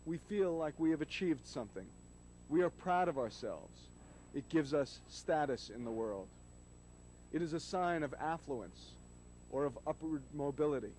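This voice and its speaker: Solemn, mellow man with higher-pitched voice. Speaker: host, media critic. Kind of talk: scripted street commentary to camera. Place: city street outdoors. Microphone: handheld microphone. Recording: noisy old videotape street recording.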